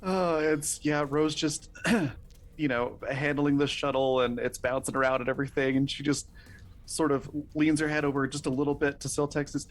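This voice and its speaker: A man's voice: medium pitch, little pitch movement, moderate pace. A man playing a tabletop role-playing game, talking casually as he works through his rolls. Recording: faint music behind the voice.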